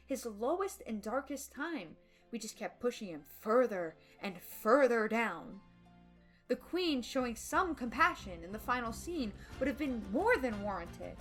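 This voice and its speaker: A woman's voice: fairly high pitch, a bit bright. A woman delivering an opinionated film video essay, emphatic and dramatic, with casual slang slipping in. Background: background music.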